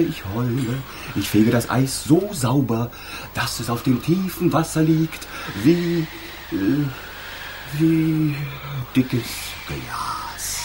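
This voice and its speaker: A man playing a boastful, flighty wind spirit in a German children's radio play, blustery and sing-song, slipping into breathy murmurs. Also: mid-range voice.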